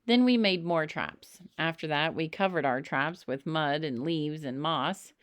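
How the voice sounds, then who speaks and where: A woman reading a children's story aloud in an expressive narrating style, with emphatic, dramatic phrasing. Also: deep voice, a little slow, no room sound, clean studio sound. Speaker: storybook reader.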